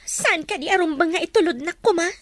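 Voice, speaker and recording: A woman's voice: very high-pitched, fairly quick, a bit bright. A woman playing a jilted young woman, hurt and bitter, in an Ilocano radio drama with an Ilocano accent. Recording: very noisy recording with hum.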